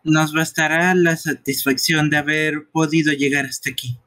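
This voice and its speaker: A man dubbing game dialogue live in an amateur, playful, teasing way, with a husky voice. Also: on the high side, flat delivery, moderate pace, sharp tone, dry recording.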